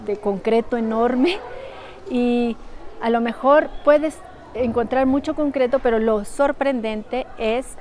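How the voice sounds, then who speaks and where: A woman's voice: fairly high pitch, darkish tone. A woman giving informal interview answers, repeating phrases for emphasis. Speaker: documentary interviewee. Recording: clear background ambient noise.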